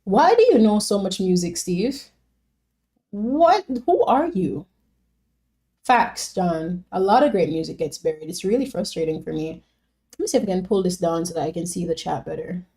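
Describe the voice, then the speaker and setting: A woman's voice: fairly low pitch, animated, normal speed, dark tone. A young woman from Jamaica chatting casually on a live stream, reading viewers' comments and answering them by name with lots of fillers.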